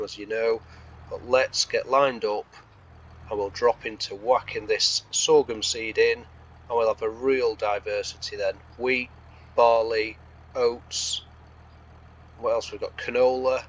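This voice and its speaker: A man with a croaky, slightly hoarse voice gives casual, rambling gameplay commentary, thinking aloud as he hosts. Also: mid-range voice, fairly even intonation, measured pace, lo-fi sound. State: slightly hoarse from being unwell. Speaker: host of a gaming series.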